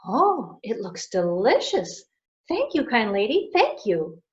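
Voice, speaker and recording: A woman voicing a sweet, innocent young princess with a gentle, trusting manner. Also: on the high side, huge pitch swings, takes it slowly, heavily acted. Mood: grateful. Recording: dull lo-fi recording.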